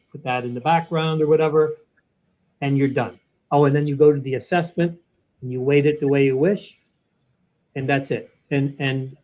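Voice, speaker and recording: A man, a guest in an interview, explaining a software product in an animated way, walking through each step. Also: on the high side, low-quality recording. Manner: animated, walks through steps one by one. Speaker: guest, sales training software executive.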